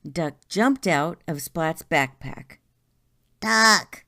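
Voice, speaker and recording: A woman reading a children's story aloud in a deliberate, expressive way. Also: on the low side. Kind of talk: read-aloud story narration.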